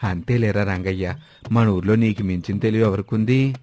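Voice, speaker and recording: A man's voice: lowish voice, steady tone, moderate pace, darkish tone, no room sound, pitched below the performer's own voice, natural voice. A man voicing a gullible, easily amazed village sidekick who exclaims in rustic colloquial speech in a moral story. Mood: admiring.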